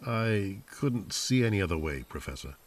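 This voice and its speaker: A man's voice: deep voice, expressive, normal speed, slight background noise. A man playing a weary, plain-spoken army sergeant with a subdued manner in an old-time radio drama. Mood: subdued, resolute.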